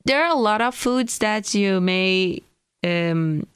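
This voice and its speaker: A young woman with a Kurdish accent speaks in a smooth, resonant voice at a middling pitch. She answers a host's questions hesitantly, pausing and searching for words. The sound is close and dry. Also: expressive, dry recording. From Iranian Kurdistan. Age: young adult. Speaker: guest. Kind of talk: interview answers.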